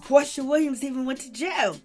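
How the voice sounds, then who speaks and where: Hoarse woman, fairly high voice, fervent livestream host, faint music under her. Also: fairly quick, dark tone. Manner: fervent, preachy, talks to chat viewers. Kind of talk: impassioned livestream commentary.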